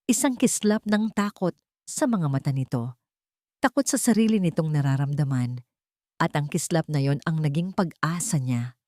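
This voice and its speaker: A woman with a low-pitched voice narrates a dramatic love story from a script. The sound is dry, with hardly any room. Her phrasing is deliberate and emotive, broken into short, weighted pieces. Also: lively intonation, darkish tone, no echo.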